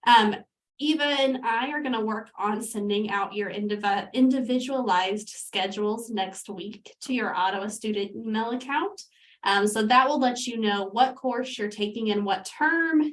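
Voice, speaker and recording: A woman's voice: on the high side, moderate pace, a bit bright, recorded from a distance, low-quality recording. A woman with a congested voice giving a friendly orientation presentation over a call through headphones, with frequent ums. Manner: friendly, uses many ums. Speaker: academic advisor.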